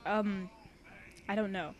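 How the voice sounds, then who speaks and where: A woman's voice: mid-range voice, steady tone, takes it slowly. A young woman chatting casually as she reads a story aloud, with a giggly, rambling, informal manner. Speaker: fan fiction author and reader.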